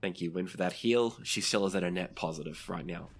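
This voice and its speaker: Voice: deep voice, a little fast, slightly rough. A game master running a tabletop role-playing game, narrating scenes and calling for rolls in a conversational way.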